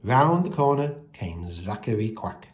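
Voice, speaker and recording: Casting: male storyteller, lowish voice, expressive read-aloud of rhyming picture books for young children. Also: measured pace, a little hiss or hum.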